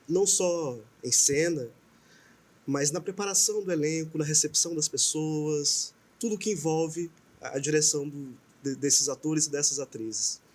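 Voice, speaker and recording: A Brazilian man interviewing a guest on a talk show, putting his questions deliberately in short, careful phrases. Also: fairly high pitch, breathy edge.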